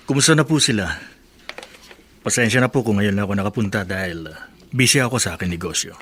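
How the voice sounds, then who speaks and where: A man's voice: on the low side, quick pace, fairly bright tone, slight background noise, natural voice. An actor playing a responsible businessman in a Filipino radio drama, speaking with a Filipino accent. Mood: polite, apologetic. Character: polite, responsible businessman, teasing with his friend.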